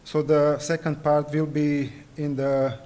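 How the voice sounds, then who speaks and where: Serbian-native man giving a halting conference lecture. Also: a little high, flat delivery, mellow. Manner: hesitant, frequent fillers and restarts. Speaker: conference presenter. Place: conference hall.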